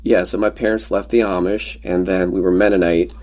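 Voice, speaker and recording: Joking man guest, low voice. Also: level tone, a little fast, darkish tone. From Pennsylvania, USA. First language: Pennsylvania Dutch. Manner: joking, rambling anecdotes with self-deprecating humor. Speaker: podcast guest. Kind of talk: casual conversational storytelling.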